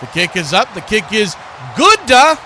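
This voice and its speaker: Higher-pitched American man calling football, noisy stream. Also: sing-song, moderate pace. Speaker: play-by-play sports commentator and stream host. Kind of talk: live American football commentary with casual chat.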